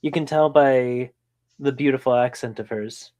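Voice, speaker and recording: A man's voice: medium pitch, steady tone, normal speed, a little mellow. A man playing a tabletop role-play game, talking hesitantly with lots of fillers. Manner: hesitant, many fillers, giggly. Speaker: player. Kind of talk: casual chat at a game table.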